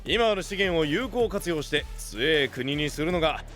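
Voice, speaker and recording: Brash anime knight leader, big-hearted, lively, a bit higher than most men. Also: male voice, moderate pace, soft background music. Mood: proud, earnest. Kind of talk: anime audio drama acting.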